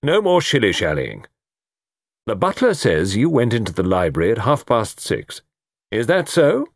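A man voicing a brisk, stern middle-aged chief constable in a storybook, questioning in a clipped, official way. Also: fairly low pitch, wildly swooping pitch, dry recording, studio quality, voice pushed up, exaggerated voice. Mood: firm, brisk.

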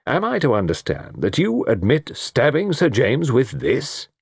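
A gruff old English colonel from an audiobook, acted out by a man. He is grave, blunt and suspicious, blustering through his lines with very lively intonation. The sound is close and dry. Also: medium pitch, a little slow, no room sound, voice pushed up, heavily acted. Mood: grim. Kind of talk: audiobook character dialogue.

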